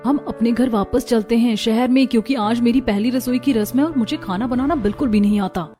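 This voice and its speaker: Casting: woman to play fussy young city-bred daughter-in-law for Hindi cartoon, high voice, complaining and pleading, faint music bed. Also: a little fast, warm tone, close to an everyday voice. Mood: anxious, pleading. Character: peevish young city-bred daughter-in-law who dislikes village life. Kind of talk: Hindi cartoon story voice acting.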